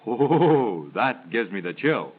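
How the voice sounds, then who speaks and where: A man's voice: lowish voice, sing-song, takes it slowly, breathy edge. Playful old-timey film guy, voice swoops around, tinny narrow sound with some hiss. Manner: playful, theatrical questioning. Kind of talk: scripted 1930s film patter. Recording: tinny 1930s film soundtrack, faint noise.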